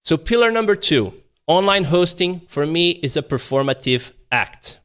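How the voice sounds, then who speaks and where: A man in his thirties hosting a live webinar over a video call, talking casually and raising and lowering his energy to make his points. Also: expressive, normal speed, a bit bright, clean tone. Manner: shifts voice energy up and down to demonstrate, conversational. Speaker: webinar presenter. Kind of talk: live online webinar talk. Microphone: video call webcam.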